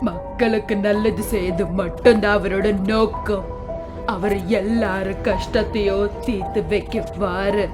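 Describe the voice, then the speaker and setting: A woman voicing an old village grandmother who tells a story slowly and quietly in a cartoon, over loud music. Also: mid-range voice, steady tone, lightly acted. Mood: hushed.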